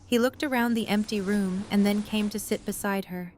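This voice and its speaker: A woman narrates an audio drama story in a mid-pitched voice. Her scripted reading sits over faint noise and ambience. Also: steady tone, moderate pace, darkish tone.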